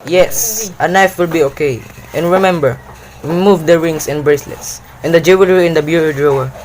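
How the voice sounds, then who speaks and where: A man's voice: fairly high pitch, moderate pace, a little mellow, lightly acted. A man playing a cold-blooded, commanding crime boss in an amateur radio play, giving terse orders. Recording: noisy background ambience.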